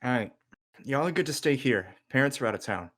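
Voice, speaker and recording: A man's voice: mid-range voice, animated, fairly quick, a bit bright. A man playing a slangy, enthusiastic, goofy teenage boy, the loyal best friend, at an amateur script table read. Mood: casual.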